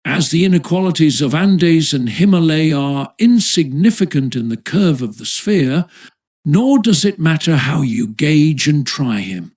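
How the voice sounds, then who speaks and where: A man narrating an audiobook of a nineteenth-century essay in a measured, formal literary manner. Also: on the high side, darkish tone.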